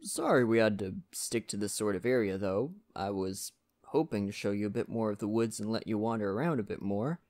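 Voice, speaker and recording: Man playing a gentle lumberjack with some creak. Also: fairly low pitch, close to an everyday voice. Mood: relaxed, friendly. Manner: gentle, folksy, reassuring, unhurried. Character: friendly Canadian lumberjack, gentle nature-loving father. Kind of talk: ASMR roleplay, soft-spoken monologue to the listener.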